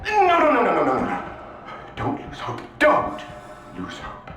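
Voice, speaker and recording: Desperate male scientist, video game drama, wildly varying pitch, urgent stammering, repetitive pleading, music underneath. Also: huge pitch swings, unhurried, fairly bright tone, heavy reverb, exaggerated voice. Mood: frantic, desperate. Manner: stammering, repeats himself urgently. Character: frantic guilt-ridden scientist, pleading and unhinged.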